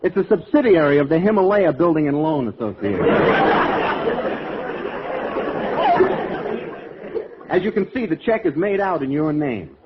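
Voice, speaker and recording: Wildly swooping, shifty radio sidekick. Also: male voice, on the high side, big pitch swings, fast. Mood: mock-dignified. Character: eager, shifty, penniless sidekick pal. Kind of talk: 1940s-50s radio comedy acting before a live audience. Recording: muffled, slightly hissy vintage radio recording.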